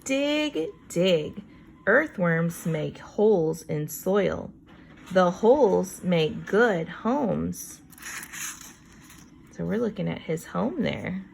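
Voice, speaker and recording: A woman reads aloud to kindergartners at a very unhurried pace, her voice on the low side with a gravelly creak. She reads each sentence deliberately, then adds chatty, wondering comments as a teacher would. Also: expressive, a little mellow, a little hiss or hum. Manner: slow deliberate reading, chatty asides with wondering exclamations.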